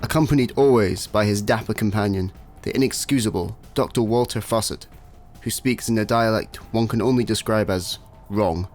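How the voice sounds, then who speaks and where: A Scottish man acting as the wry, deliberate narrator of a comic sci-fi radio play, deadpan and mock-grand. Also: lowish voice, lightly acted. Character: wry, measured storyteller of a comic space adventure. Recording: music behind the voice.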